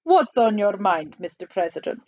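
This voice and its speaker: A woman voicing an unruffled male Soviet premier, formal and measured, in a storybook reading. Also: medium pitch, big pitch swings, a little mellow, no echo, muffled recording. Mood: measured, cool.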